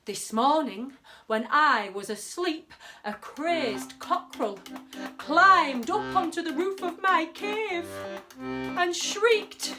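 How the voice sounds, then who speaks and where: A woman's voice: very high voice, expressive, takes it slowly, sharp tone, faint music behind, heavily acted. A woman voicing a timid male lion who is king of the beasts, in a storybook tale. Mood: fearful. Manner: fearful, storybook voice.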